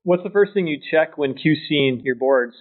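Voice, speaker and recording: A man's voice: on the high side, animated, moderate pace. A man interviewing a surfboard shaper, asking short, direct questions one after another. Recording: tinny phone-like line.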